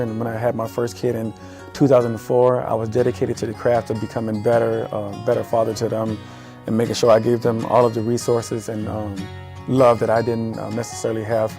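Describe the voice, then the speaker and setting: Middle-aged man, mellow low-key delivery, halting earnest testimonial, soft guitar behind. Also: level tone. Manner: halting, many ums, earnest. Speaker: father telling his story. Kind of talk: personal testimonial.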